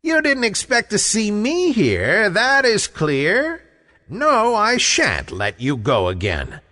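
A man's voice: high-pitched, wildly swooping pitch, takes it slowly, in a large hall, voice pushed up, full character voice. Man putting on a bossy, swooping storybook giantess. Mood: bossy, weary. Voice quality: loud. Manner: domineering, theatrical. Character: overworked, bossy giantess, loud scolding wife. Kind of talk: storybook character voice.

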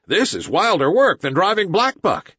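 A man's voice: a little high, very animated, normal speed, a bit bright, husky, no room sound, dull audio, heavily acted. A man reading aloud and voicing an eager jungle boy who gives urgent, commanding orders in old-fashioned speech. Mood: excited.

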